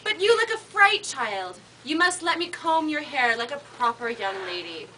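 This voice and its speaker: A woman's voice: high voice, big pitch swings, moderate pace, sharp tone, exaggerated voice. A woman voicing a wicked queen disguised as an old peddler woman, coaxing in a sing-song storybook manner. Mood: sly coaxing. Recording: distant mic, light background noise.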